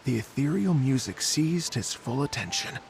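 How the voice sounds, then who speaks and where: Anime game wandering musician, courteous, wistful, lively intonation, some vocal fry. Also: male voice, mid-range voice, moderate pace, a little hiss or hum. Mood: storytelling. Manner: polite, hesitant, earnest. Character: genteel, mild-mannered wandering musician, adult man, wistful storyteller.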